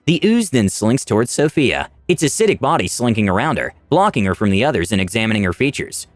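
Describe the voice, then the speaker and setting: Guy reading a fantasy story, really lively pitch, dry close sound, light music behind. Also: brisk, no echo. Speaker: story narrator. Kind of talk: dramatic scripted first-person fantasy narration.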